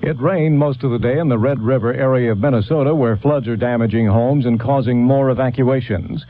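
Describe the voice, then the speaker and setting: A man's voice: medium pitch, slight background noise. Male radio newsreader, dull old recording. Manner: measured, formal newsreading. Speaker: radio news anchor. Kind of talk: scripted radio news bulletin.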